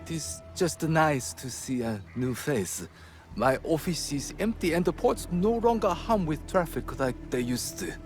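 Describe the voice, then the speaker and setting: A courteous male official in a video game, troubled and plaintive. His formal speech moves widely in pitch over faint music. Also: lively intonation, unhurried, a little mellow. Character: troubled, courteous town official lamenting lawless times. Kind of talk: video game cutscene acting.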